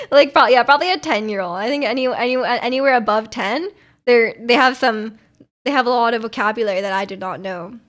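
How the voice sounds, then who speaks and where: High-voiced, giggly young Japanese woman. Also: fairly quick. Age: 20s. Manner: giggly, rambling, self-deprecating. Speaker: livestreamer. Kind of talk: casual chat with livestream viewers.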